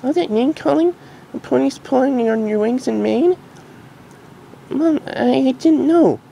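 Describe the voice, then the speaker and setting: A man voicing an excitable, sweet six-year-old unicorn filly in an amateur cartoon fan-fiction reading, gleeful and pleading. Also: very high voice, a little slow, vocal fry, a little hiss or hum, voice pushed up, full character voice, rough recording. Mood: shocked, tender.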